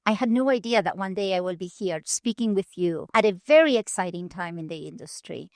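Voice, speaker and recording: A woman from Argentina, a nonprofit leader telling her story in an earnest, measured way with deliberate pauses. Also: fairly low pitch, animated, darkish tone, no echo, studio quality. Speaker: guest, nonprofit CEO. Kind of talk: storytelling interview answers. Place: conference venue.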